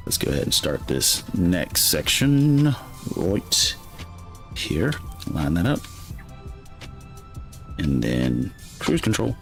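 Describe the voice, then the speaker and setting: A man with a light Alabama accent, chatting casually and rambling to his chat while playing a game. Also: fairly low pitch. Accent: light Alabama Southern. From Alabama, USA. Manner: rambling, chatty, self-correcting, reads chat aloud. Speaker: gaming streamer.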